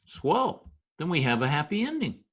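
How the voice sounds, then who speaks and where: A man's voice: fairly high pitch, big pitch swings, normal speed, a bit bright, husky, heavily acted. A man playing a wry, skeptical Broadway wise guy in a 1940s-style radio drama, wisecracking in stiffly formal slang. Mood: surprised, probing. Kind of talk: old-time radio drama, present-tense slangy narration and dialogue. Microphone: video call recording.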